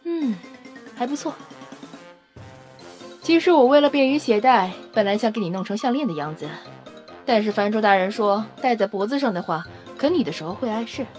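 A woman playing a confident, teasing scientist in an audio drama, brisk and matter-of-fact with a playful, self-satisfied manner. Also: fairly high pitch, lively intonation, a little mellow, faint music behind, natural voice. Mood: casual, appraising. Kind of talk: Chinese audio drama acting.

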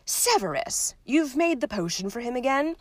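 A woman reading aloud and voicing a cheerful, kindly old male headmaster who speaks in a genial, gently amused way. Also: high voice, big pitch swings, moderate pace, no echo. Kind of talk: storybook reading.

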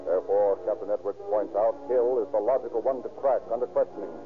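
A man giving formal, measured, portentous scripted narration in the manner of 1930s radio drama. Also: on the low side. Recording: tinny old radio recording with music.

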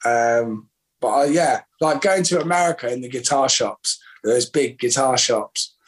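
A man, a musician chatting casually in an interview in a relaxed, rambling, self-deprecating way. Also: mid-range voice, unhurried. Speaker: musician guest.

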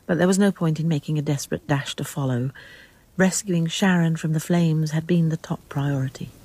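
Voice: medium pitch, fairly quick, darkish tone. A narrator telling a radio drama in scripted, dramatic storytelling style.

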